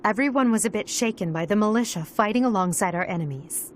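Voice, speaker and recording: A woman playing a composed, resolute young noblewoman in a video game, speaking in a measured, earnest, formal way. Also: mid-range voice, slight background noise, lightly acted. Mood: grave resolve. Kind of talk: scripted video game voice acting. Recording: loud music behind the voice. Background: game soundtrack music.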